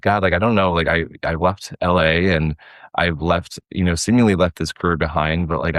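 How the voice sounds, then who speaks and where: A man's voice: deep voice, a bit bright, no room sound, studio quality. A man speaking as an interview guest, telling a story in a casual, informal way with quoted dialogue. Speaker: podcast guest, actor and audio drama producer.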